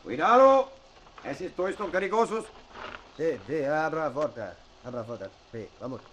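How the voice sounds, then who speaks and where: Urgent, rapid, high-voiced policeman. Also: lively intonation, a bit bright, low-quality recording. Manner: hurried, calling out orders. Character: urgent Portuguese-speaking policeman hunting fugitives. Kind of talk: old-time radio drama acting.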